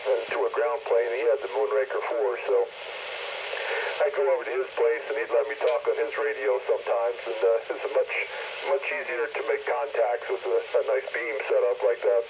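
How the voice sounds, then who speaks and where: Gravelly man over noisy CB radio. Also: mid-range voice, level tone, moderate pace. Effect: CB radio transmission. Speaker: CB radio operator. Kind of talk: casual radio chat. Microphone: CB radio, heard through the receiver. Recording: muffled, noisy CB radio signal.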